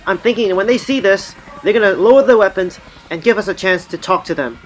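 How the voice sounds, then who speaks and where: A resolute police commander played by an amateur actor in an audio drama, with music under the voice. The pitch is middling and the delivery blunt and decisive, that of a superior giving orders. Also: fairly quick, close to an everyday voice, poor audio. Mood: determined.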